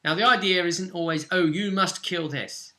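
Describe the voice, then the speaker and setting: A man's voice: sits high, steady tone, moderate pace, bright, forceful tone. A man hosting as game master, casually explaining a tabletop role-play session in loosely phrased speech with asides.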